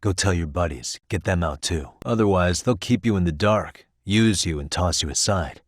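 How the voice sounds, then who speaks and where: Video game private eye, thirties, deep register, level-headed, businesslike and determined, dry studio sound. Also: male voice, normal speed, no room sound. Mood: stern warning. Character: shrewd, level-headed male private detective in his thirties. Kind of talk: English video game voice acting.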